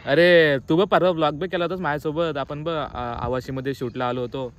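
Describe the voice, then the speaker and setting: Young man, higher-pitched, teasing. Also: steady tone. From Maharashtra, India. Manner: teasing, halting and repetitive. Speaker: vlogger making a prank call. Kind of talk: casual chat, playful vlog.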